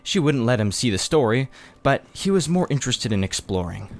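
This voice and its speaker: A male audiobook reader narrates a children's story. His voice is medium in pitch, and the delivery is that of scripted storybook narration. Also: sing-song, fairly quick, a little hiss or hum.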